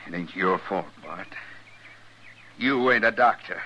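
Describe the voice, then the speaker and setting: Sharp, bitter frontier father. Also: sits low, a little slow, a little hiss or hum. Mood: bitter grief. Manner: folksy rural drawl, combative. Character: bitter, belligerent frontier father, blaming others. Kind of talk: 1950s radio western acting.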